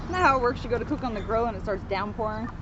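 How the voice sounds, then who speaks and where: A man's voice: very high voice, rapid-fire, dull audio, crowd noise. A man who is a police officer, making chatty side comments outdoors. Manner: chatty, laughing asides.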